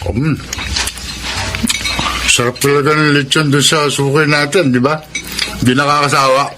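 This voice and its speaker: A man's voice: a little high, fairly even intonation, normal speed, over music, close to an everyday voice, poor audio. A man playing a gossipy, food-loving husband in a Filipino radio drama, chatty and slyly disapproving. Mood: relishing. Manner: chatty, conversational.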